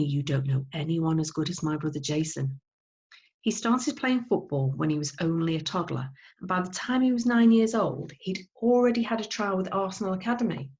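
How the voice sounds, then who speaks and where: A woman with a fairly low voice narrates a children's novel. She reads expressively, like a teacher reading aloud to pupils. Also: darkish tone.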